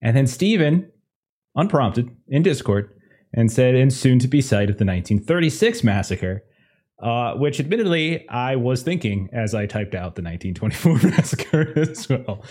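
A man opens a tabletop roleplaying session as host and game master. He rambles casually, stumbling over fillers and restarts, at an ordinary middle pitch. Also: big pitch swings, normal speed, darkish tone, slightly rough. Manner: rambling, many fillers, laughs at own jokes. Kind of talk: casual chat opening a tabletop game stream.

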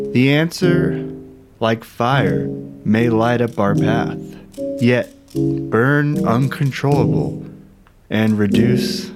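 A man playing a wry, aggrieved investigator, narrating an audio drama thriller with sardonic, dramatic emphasis. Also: medium pitch. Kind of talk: scripted first-person thriller narration.

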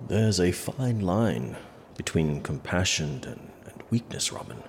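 An actor playing a pompous, vain and gluttonous medieval monarch, imperious in an audio drama. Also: male voice, sits low, animated, measured pace, darkish tone. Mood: grave, self-important. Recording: long hall reverb, faint ambient noise.

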